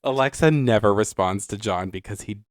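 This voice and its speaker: A man co-hosting a casual podcast chat, teasing and quick with banter. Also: fairly low pitch. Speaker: podcast co-host.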